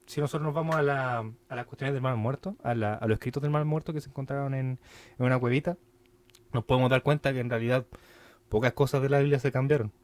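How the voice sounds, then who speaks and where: A man's voice: mid-range voice, fairly even intonation, quick pace, darkish tone. A young man with a Chilean accent co-hosting a podcast, talking casually and loosely with slang and lots of fillers. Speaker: podcast co-host.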